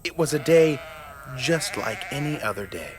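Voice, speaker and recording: A man narrating a scripted family story in an expressive storytelling manner. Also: mid-range voice, normal speed, music in the background.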